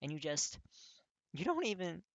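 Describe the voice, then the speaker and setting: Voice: mid-range voice, sing-song, normal speed, no echo, voice pushed up. A performer voicing a desperate, earnest teenage boy who stammers and pleads with a breaking, tearful voice. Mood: tearful pleading. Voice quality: raspy.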